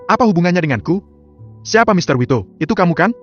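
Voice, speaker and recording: A man's voice: high voice, sing-song, fast talker, pitched above the performer's own voice, exaggerated voice. A man voicing a scornful, fed-up wife. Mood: accusing. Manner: sharp, accusing questions. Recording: slightly muffled, soft music behind.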